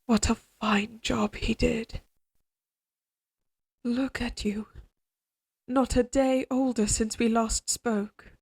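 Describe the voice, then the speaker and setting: A woman playing a regal, possessive queen in an audio roleplay drama, speaking in formal, measured courtly phrases, tender yet controlling. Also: a little high, little pitch movement, gravelly, darkish tone, slightly rough, full character voice. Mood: solemn, proud.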